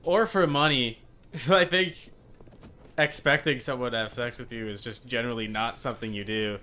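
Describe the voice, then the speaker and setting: A man's voice: mid-range voice, normal speed, sharp tone. A man streaming a video game with casual commentary, muttering asides to the characters as he plays. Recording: faint background game noise.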